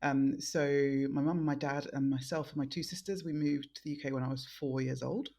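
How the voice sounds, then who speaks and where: A middle-aged woman born in Nigeria and raised in London, giving thoughtful interview answers as a guest, with frequent pauses and restarts. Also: sits low, steady tone, mellow. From born in Nigeria, raised in South East London. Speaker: guest, think tank director.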